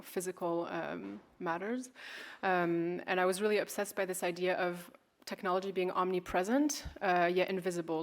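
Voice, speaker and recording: A woman in her thirties, who grew up in California, presents her work as an artist and fashion designer in a festival talk, speaking unhurriedly and hesitantly with many fillers and restarts. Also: lowish voice.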